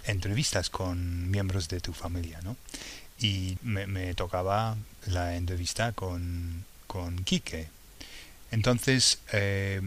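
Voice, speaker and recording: Slow, low-voiced man hosting a podcast. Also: fairly bright tone. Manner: hesitant, with many fillers and encouraging interjections. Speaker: podcast host and interviewer. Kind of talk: podcast presenting and interviewing.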